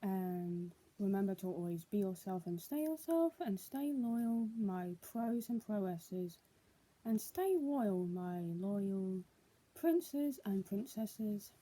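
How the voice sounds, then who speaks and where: A woman's voice: medium pitch, animated, slow, warm tone, husky, low-quality recording. A young adult woman, speaking as a vlogger in a casual, homemade style. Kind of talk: casual vlog, reading news aloud and chatting.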